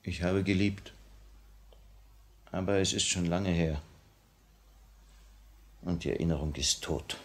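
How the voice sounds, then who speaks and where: A stern revolutionary chief with a very low voice and a very slow pace. He is grave, stoic and tired, speaking in the measured style of 1950s German radio drama. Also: steady tone. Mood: weary, subdued. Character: stern, weary male leader of a revolutionary cell, stoic.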